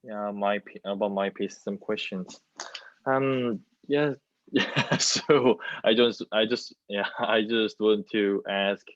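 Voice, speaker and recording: Slow, halting young man with Korean accent. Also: fairly low pitch, steady tone. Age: young adult. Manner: hesitant, halting, searching for words. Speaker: student playwright, panelist. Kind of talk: casual panel discussion. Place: plain room, indoors. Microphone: video call, wireless earbud worn. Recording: roomy, dull video-call audio.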